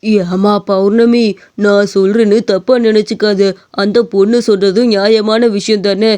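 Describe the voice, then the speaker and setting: Man playing a high, smooth-voiced moralising village headman. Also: flat delivery, moderate pace, dark tone, close to an everyday voice. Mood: stern, persuasive. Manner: lecturing, emphatic. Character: authoritative male village headman, righteous and moralising. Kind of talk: cartoon story dialogue.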